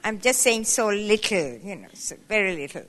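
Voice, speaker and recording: A woman's voice: mid-range voice, animated, moderate pace, fairly bright tone. Playful woman in her seventies, echoing hall. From Sri Lanka. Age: 70s. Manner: playful, conversational, rising emphatic asides. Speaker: guest speaker. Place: hall at a women's church event. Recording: long reverb of a hall.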